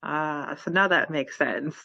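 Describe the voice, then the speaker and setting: A woman's voice: low-pitched, slow pace, gravelly, bright, forceful tone, dry recording, highs cut off. A woman co-hosting a casual fan podcast, upbeat and giggly as she reads listener questions. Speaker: podcast co-host.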